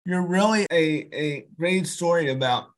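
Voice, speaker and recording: A man's voice: a little high, a little slow. A man hosting a podcast interview, encouraging and repetitive, full of "like" and "you know". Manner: encouraging, repeats himself, lots of fillers. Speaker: podcast host. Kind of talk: casual interview chat.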